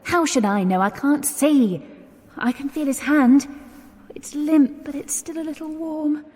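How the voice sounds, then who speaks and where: High-voiced, exasperated young Englishwoman, echoing space. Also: unhurried, a little mellow. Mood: alarmed. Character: nervous, exasperated young woman stuck in dark archives. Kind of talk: 1920s-set radio drama acting. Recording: long echoing reverb with music underneath.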